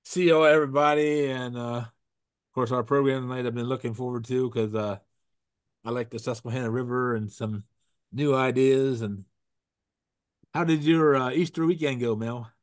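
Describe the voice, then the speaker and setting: A man's voice: mid-range voice, steady tone, normal speed, clean tone, no room sound, studio quality. A man hosting a casual video-call talk show, getting over a cold and sounding froggy, rambling with restarts and corny puns. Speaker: host. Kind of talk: casual chat with jokes.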